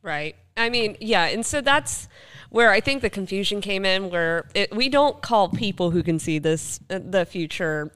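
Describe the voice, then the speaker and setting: A woman's voice: mid-range voice, expressive, moderate pace, a bit bright, smooth. A woman co-hosting a podcast, talking in a casual, informal way peppered with filler words. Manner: informal, uses lots of "like". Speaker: podcast host. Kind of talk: casual conversational podcast chat.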